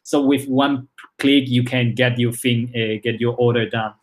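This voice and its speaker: A man in his twenties, born in China, with a Spanish accent and Chinese as his first language, speaks as a startup founder in a casual interview, halting often with repeated words and fillers. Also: mid-range voice, steady tone. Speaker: startup founder and CEO, guest.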